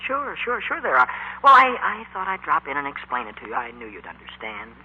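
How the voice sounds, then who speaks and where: A young man acting an eager, awkward high school boy in a 1940s radio comedy-drama, stammering and flustered. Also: huge pitch swings, fairly quick, husky, heavily acted. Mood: sheepish. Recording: lo-fi 1940s radio, faint hiss and hum.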